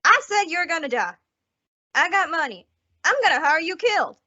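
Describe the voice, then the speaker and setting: Woman as mean frontier rancher's wife, very high voice, blunt folksy accusations, radio western, muffled lo-fi recording. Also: big pitch swings, moderate pace, bright, forceful tone, exaggerated voice. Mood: vengeful, threatening. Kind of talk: old-time radio western acting. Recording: dull lo-fi radio drama recording.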